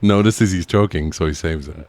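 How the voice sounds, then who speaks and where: A man's voice: deep voice, normal speed, a little mellow, no room sound. A man co-hosting a podcast, chatting casually with wry humour and plenty of swearing. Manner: profane, wry. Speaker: podcast co-host. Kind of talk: casual chat.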